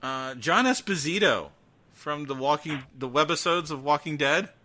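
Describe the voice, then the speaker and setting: A man's voice: a little high, lively intonation, normal speed, crowd noise. A man hosting a casual podcast chat, joking at his own expense and swearing freely. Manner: jokey, self-mocking, swears freely. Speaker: podcast host. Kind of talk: casual profane podcast chat about horror.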